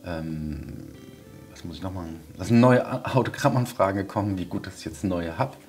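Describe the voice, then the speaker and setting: A man in his forties casually talking through a video diary, unhurried and thinking aloud with pauses, on a clip-on mic. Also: low-pitched, animated, darkish tone, faint music behind. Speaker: vlogger, audio drama maker. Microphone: wireless clip-on lavalier.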